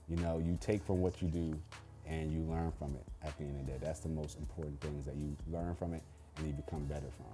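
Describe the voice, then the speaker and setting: A young man from Baltimore who directs films and music videos, answering questions in a relaxed, laid-back way with many pauses and fillers. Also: deep voice. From Baltimore, Maryland. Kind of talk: casual Q&A chat.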